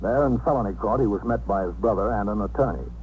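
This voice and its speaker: An actor playing a dry, tough police captain, matter-of-fact and clipped in the style of a 1950s radio drama. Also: male voice, fairly low pitch, quick pace, darkish tone, lightly acted. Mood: factual, dry. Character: dry, tough New York police captain narrating cases. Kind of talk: factual scripted narration, 1950s radio acting. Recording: noisy, humming 1950s radio recording.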